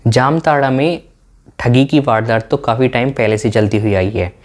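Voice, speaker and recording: A man's voice: medium pitch, normal speed. A man narrating in an explanatory, documentary style.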